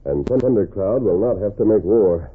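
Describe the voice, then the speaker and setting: Man acting a deep, commanding masked cowboy hero. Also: lively intonation, moderate pace, lightly acted. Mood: calm, resolute. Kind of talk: 1940s radio acting. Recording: muffled, noisy 1940s radio recording.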